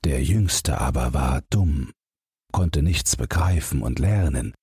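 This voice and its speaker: A man's voice: a bass voice, animated, takes it slowly, darkish tone, breathy edge, dry recording, clean studio sound. A man reading a scripted fairy-tale audiobook narration for bedtime. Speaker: audiobook reader.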